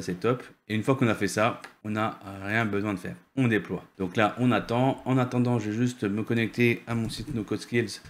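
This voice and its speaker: Man, lowish pitch, tutorial host, casual, unscripted, hesitant delivery, repeated words, frequent check-ins with the listener. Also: fairly bright tone. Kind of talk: casual software tutorial with screen share.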